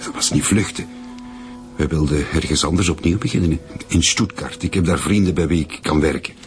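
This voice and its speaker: A man's voice: extremely low pitch, a little fast, faint music behind. A young man playing a bereaved, defensive boyfriend in a naturalistic radio drama. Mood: earnest, defensive. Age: young adult.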